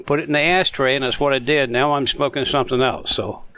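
A man with a middle-pitched voice talking casually. He speaks haltingly with many "uh"s and "you know"s, and his manner is mild. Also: fairly even intonation, sharp tone. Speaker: podcast panelist. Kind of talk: casual chat.

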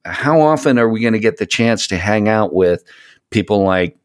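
A man in his late fifties with a fairly low voice talks casually on a podcast. He is reflective and conversational, often halting as he gathers his thought. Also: expressive. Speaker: podcast co-host. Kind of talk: casual chat about gaming.

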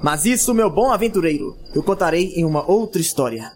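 An actor playing a genial storyteller, narrating a fantasy audio drama as if to an adventurer. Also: male voice, fairly high pitch, lively intonation, fairly quick, close to an everyday voice. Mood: genial, storytelling. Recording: clear night ambience noise with crickets. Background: crickets, night ambience.

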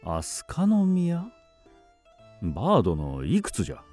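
An actor playing a blunt, sceptical samurai companion with archaic warrior speech in a radio drama. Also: male voice, fairly low pitch, huge pitch swings, takes it slowly, warm tone, faint music behind, heavily acted. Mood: sceptical, teasing.

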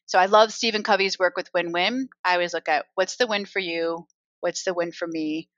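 An American woman with a lowish voice answers interview questions at an easy, reflective pace, dropping in frequent fillers. Her audio is dull and dry, like a remote call with a dry, muffled sound. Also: steady tone, a bit bright, no echo. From United States. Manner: reflective, unhurried, many "you know" fillers. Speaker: interview guest, author and women's empowerment coach.

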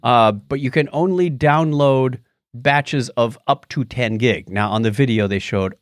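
A man hosting a casual tech podcast, telling stories in an animated way and acting out reactions as he goes. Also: mid-range voice, a little slow, dry recording, studio quality. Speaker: podcast host.

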